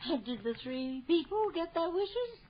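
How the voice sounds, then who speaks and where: A woman's voice: extremely high pitch, sing-song, measured pace, a little hiss or hum, exaggerated voice. An actress playing a fluttery middle-aged housewife and mother, genteel and teasing, in an old-fashioned radio drama style. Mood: curious, uneasy. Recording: dull, lo-fi radio drama recording.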